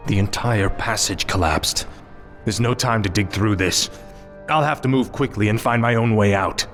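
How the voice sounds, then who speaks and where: Guy doing grim young evil knight prince, lowish voice, theatrical, game cutscene w music. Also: normal speed, close to an everyday voice. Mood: resolute. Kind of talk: fantasy video game acting. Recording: music behind the voice.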